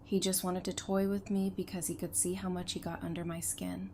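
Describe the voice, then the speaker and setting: A woman playing a tough survivor in an audio drama, with a lowish voice over faint background noise. She is blunt and intense, sharp-tongued and fiercely protective. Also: little pitch movement, brisk, lightly acted. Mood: tense, bitter. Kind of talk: full-cast fan audio drama acting.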